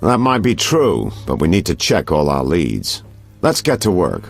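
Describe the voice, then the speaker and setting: Gravelly, very deep investigator. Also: male voice, wildly swooping pitch, measured pace, exaggerated voice. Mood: shrewd, advising. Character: shrewd, patient male investigator, confiding and directive. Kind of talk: video game voice acting. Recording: music behind.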